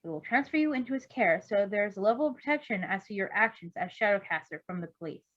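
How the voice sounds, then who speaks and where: A woman's voice: medium pitch, expressive, fast, close to an everyday voice. A woman reading the part of a dry, exasperated superhero man who speaks precisely and formally in a story. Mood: dry, reassuring. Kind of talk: amateur fan fiction character reading. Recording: dull lo-fi recording.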